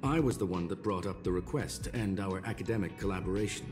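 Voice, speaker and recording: An actor playing a courteous, rational robot scholar in a video game, calm and regretful, with formal, measured, precise diction. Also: male voice, sits low, music in the background, close to an everyday voice.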